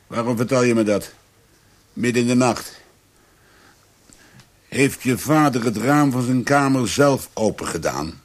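An actor playing a stern, persistent police commissioner in a radio drama, with a measured, probing, formal manner. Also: male voice, medium pitch, no room sound, close to an everyday voice. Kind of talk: Dutch radio drama acting. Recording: faint background noise.

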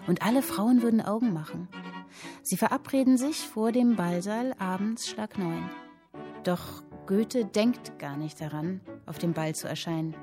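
An actress playing a captive young woman writer in a radio drama, reading her literary text aloud in a measured storytelling way. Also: medium pitch.

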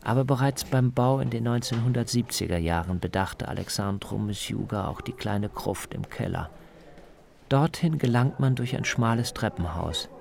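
A man reading scripted first-person documentary narration in a measured, descriptive storytelling manner. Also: medium pitch, creaky, darkish tone. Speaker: radio feature author and narrator.

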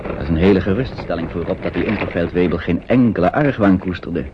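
A man's voice: sits low, fast, background noise. A man narrating a radio play as a scripted storyteller, in the present tense. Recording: dull old radio recording, music behind.

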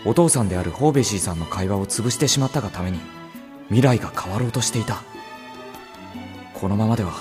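A man's voice: fairly low pitch, close to an everyday voice. An actor playing an earnest, kindly teenage boy hero in a Japanese audio drama, with casual, sincere delivery. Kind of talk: Japanese visual novel audio drama acting, with narration.

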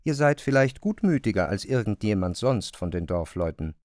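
Measured male narrator, very dry sound. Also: on the low side, big pitch swings, a little mellow, dry recording. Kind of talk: literary scripted narration.

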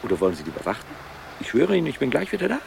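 Talky restless colleague, creaky voice all over the place, old radio play, traffic noise. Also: male voice, medium pitch, huge pitch swings, racing, a little mellow, exaggerated voice. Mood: chatty, fussing. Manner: garrulous, self-absorbed. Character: chatty, restless male colleague, fussing over gifts and prices. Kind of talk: 1970s German radio drama acting. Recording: urban street traffic noise behind voice.

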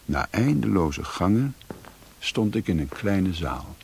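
An actor as a grave storyteller narrating a radio play in a deliberate, literary manner. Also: male voice, deep voice, sing-song, gravelly, a little mellow, a little hiss or hum, heavily acted. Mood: subdued. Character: grave storyteller recounting a mysterious journey.

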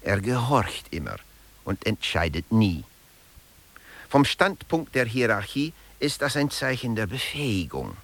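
A male management theorist with a very low, husky voice, giving a satirical lecture for radio. He speaks dryly and didactically, straight to the listener, with a gravelly edge. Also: big pitch swings, a little slow, a bit bright, full character voice. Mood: emphatic, declarative. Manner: dry, didactic, addresses listeners directly.

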